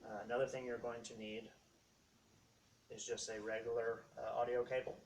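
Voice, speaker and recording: A man with a medium-pitched voice hosts an unscripted tech walkthrough. He explains things step by step in short, halting phrases. The mic sits some distance away, and there is faint noise behind him. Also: flat delivery. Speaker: host of a how-to tech video series. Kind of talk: casual unscripted product walkthrough.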